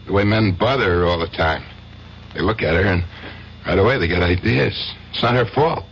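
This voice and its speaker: An actor playing a brash, possessive acquitted defendant in a 1970s radio drama, with slangy, pushy, familiar talk. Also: male voice, low-pitched, brisk, fairly bright tone. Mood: brooding. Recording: muffled old radio recording, heavy noise.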